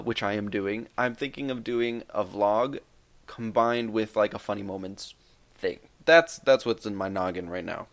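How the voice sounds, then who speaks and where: A man in his twenties answering viewer questions in a casual, rambling, joking vlog. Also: lowish voice. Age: 20s. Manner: rambling, joking, many fillers. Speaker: YouTube gaming creator.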